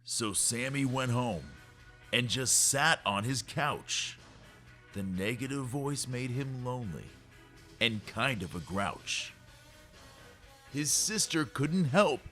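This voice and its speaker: Male storyteller for children's read-aloud. Medium pitch, unhurried pace, expressive rhyming narration. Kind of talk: rhyming scripted narration for kids.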